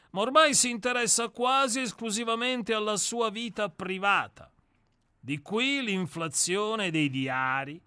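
A man's voice: fairly high pitch, sharp tone, clean tone, no room sound, exaggerated voice. A man playing a sardonic murderer-novelist. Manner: lecturing, sardonic, self-assured. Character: famous male novelist, self-declared murderer, scornful. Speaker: character in a radio play reading. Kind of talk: radio drama reading.

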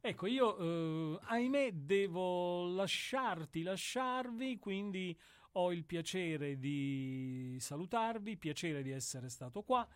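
A man chatting casually as a radio studio guest, halting and repeating his words. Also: high-pitched, lively intonation, smooth, dry recording.

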